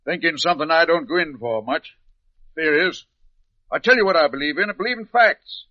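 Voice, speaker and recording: Male actor as impatient rural planter, plain-spoken and clipped, higher-pitched male voice, dull old-radio sound. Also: animated, fairly quick, lightly acted. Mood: brisk, assertive. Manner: folksy, clipped, bossy. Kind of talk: old-time radio drama acting. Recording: muffled vintage radio recording.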